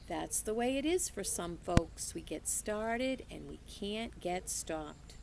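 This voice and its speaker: A woman reading aloud as a wise, gentle old woman, speaking slowly in a soothing, folksy way. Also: lowish voice, animated, creaky, background noise, full character voice.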